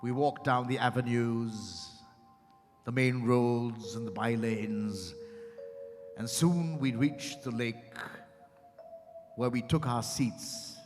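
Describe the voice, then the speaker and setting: An actor playing a dramatic male storyteller, narrating a literary piece in a theatrical, deliberate manner. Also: medium pitch, natural voice. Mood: suspenseful, descriptive. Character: dramatic male storyteller recounting a strange encounter. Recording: echoing hall with music behind. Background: music.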